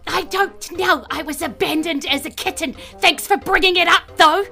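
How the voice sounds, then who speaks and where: A woman's voice: very high voice, normal speed, bright, forceful tone, soft background music, voice pushed up, full character voice. A woman voicing a sulky orphaned cat-person pirate, petulant and sarcastic, in a tabletop game. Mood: hurt, sarcastic.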